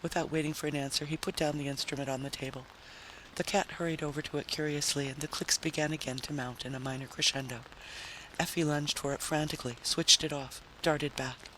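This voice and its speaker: A man's voice: fairly high pitch, fairly even intonation, fairly quick, bright, forceful tone, rough recording. A man narrating a story in a dramatic, scripted style. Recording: faint background noise.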